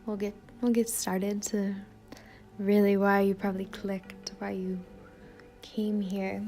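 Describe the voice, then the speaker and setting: A woman leading an impromptu guided meditation in a soft, gentle voice that often trails toward a whisper. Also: mid-range voice, flat delivery, slow, faint music behind. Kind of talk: impromptu guided meditation with casual rambling introduction.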